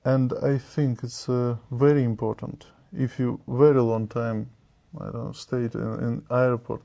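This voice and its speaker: Slow gravelly Russian man. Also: mid-range voice, level tone, warm tone. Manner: halting, broken phrasing. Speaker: exam candidate. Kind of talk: speaking exam answers.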